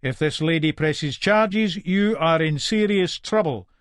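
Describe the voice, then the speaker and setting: A man voicing a stern older police officer in a story, speaking in measured, official warnings. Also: a little high, no room sound, studio quality, natural voice.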